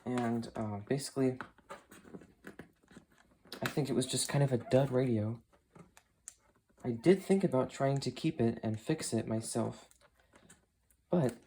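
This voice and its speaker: A man's voice: medium pitch, a little slow, pauses often, mellow. A young adult man giving casual unboxing commentary as he handles the item at home. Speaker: unboxing video maker. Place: small carpeted home room with computer desk. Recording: clear background noise, crinkling and clicks. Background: noise, crinkling packaging, clicks.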